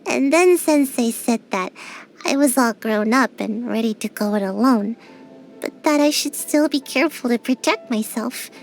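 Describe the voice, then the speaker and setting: A woman's voice: fairly high pitch, moderate pace, soft background music, close to an everyday voice, poor audio. A woman voicing a tiny, sleepy young ninja girl in a game, drowsy and a little whiny, shy but grumpy. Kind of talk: game character voice lines.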